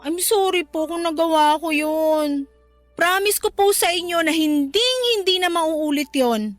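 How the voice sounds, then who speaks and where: A young adult Filipina daughter in an emotional radio drama. Her voice is extremely high, polite and earnest, devoted and forgiving in tone. A music bed sits under her lines. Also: expressive, unhurried. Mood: loving.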